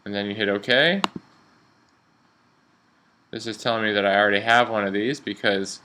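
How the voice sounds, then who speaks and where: Bright-voiced man giving a software tutorial. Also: lowish voice, steady tone, normal speed, slight background noise. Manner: informal, instructional. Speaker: radio instructor. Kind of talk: software tutorial narration, step-by-step explaining.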